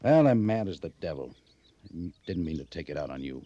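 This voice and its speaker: An actor playing a gruff, blustery army officer at a frontier post in an old radio western. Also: male voice, extremely low pitch, big pitch swings, a little fast, warm tone, highs cut off. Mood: vexed. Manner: blustery, apologetic.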